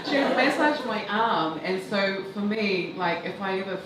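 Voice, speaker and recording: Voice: medium pitch, lively intonation, moderate pace. A filmmaker of Tongan heritage speaking reflectively and loosely on a panel. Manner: reflective, loose, laughs while talking. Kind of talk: panel discussion answer. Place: event hall. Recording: echoey hall, distant mic, noise.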